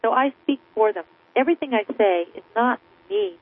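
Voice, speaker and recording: A woman's voice: a little high, sing-song, a little fast, creaky. Crusading woman on a tinny phone line. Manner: emphatic, persuasive, crusading. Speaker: author and guest. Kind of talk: impassioned radio interview. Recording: narrow phone-like radio line.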